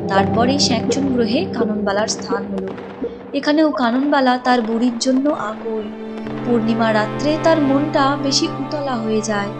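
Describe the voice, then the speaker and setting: Female cartoon narrator, fairly high voice, scripted storybook read with music behind. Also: moderate pace.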